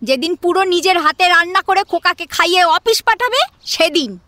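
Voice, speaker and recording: A woman's voice: very high-pitched, expressive, moderate pace, bright, forceful tone, no echo, pitched above the performer's own voice, heavily acted. A woman playing a scheming, bossy middle-aged mother-in-law in an audio drama. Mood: scolding. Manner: bossy, pointed.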